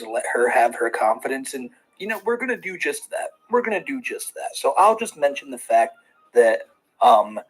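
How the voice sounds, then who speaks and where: A man's voice: mid-range voice, husky, low-quality recording. A man hosting a reaction commentary, talking in a sarcastic, critical way. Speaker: commentary channel host.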